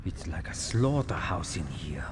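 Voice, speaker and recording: A horrified German soldier in a video game. His voice swings sharply in pitch, jumpy and frightened, with a German accent. Faint noise runs underneath. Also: big pitch swings, a little slow, in a large hall. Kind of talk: game cutscene acting.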